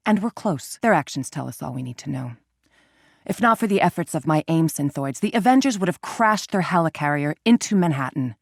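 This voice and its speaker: A woman's voice: fairly low pitch, a little mellow, dry recording. A ruthless female scientist villain from a video game. She speaks with clipped, precise authority and cold calculation. The recording is close and dry, with no room sound. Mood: assured, public-facing. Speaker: game voice actress. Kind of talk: dramatic video game voice acting.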